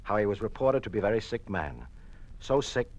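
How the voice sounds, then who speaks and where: A conflicted American politician in a golden-age radio drama. His low voice carries earnest conviction with a halting, declamatory delivery, as a party man turning against his masters. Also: very animated, a little mellow. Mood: bitter realization. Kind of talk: old-time radio drama acting.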